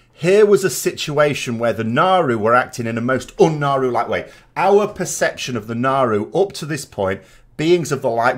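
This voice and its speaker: Male gaming host, medium pitch, informal and discursive commentary, emphatic on key words, frequent asides. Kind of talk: informal video game lore commentary.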